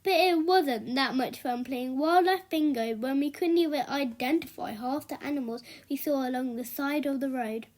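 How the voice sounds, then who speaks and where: A woman reading a children's book aloud as an expressive storyteller. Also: high voice, moderate pace, few pauses.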